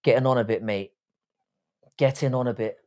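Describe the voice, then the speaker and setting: A man's voice: mid-range voice, steady tone, moderate pace, dark tone. Blunt British man in his forties, livestreaming. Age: early 40s. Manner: blunt, crude, slangy and jokey. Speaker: livestream host. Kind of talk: casual chat, reading and answering live comments.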